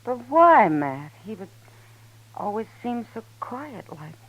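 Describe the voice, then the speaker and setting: A woman's voice: fairly high pitch, big pitch swings, takes it slowly, heavily acted. A woman playing an easygoing, informal American frontier saloon woman in a 1950s radio western. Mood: puzzled. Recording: muffled 1950s radio recording.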